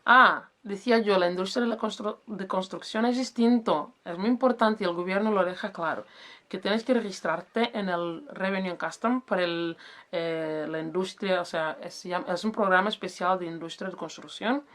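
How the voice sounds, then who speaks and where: A woman's voice: mid-range voice, moderate pace, fairly bright tone. A woman whose first language is Portuguese, casually explaining self-employment rules and often stopping to search for words and correct herself. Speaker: business advice vlogger.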